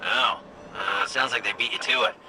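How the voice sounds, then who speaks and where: A man's voice: mid-range voice, fairly even intonation, moderate pace, bright, forceful tone, slight background noise, rough recording. A man playing a shifty, wry informant in a video game, hesitant and casual in his talk. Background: faint music.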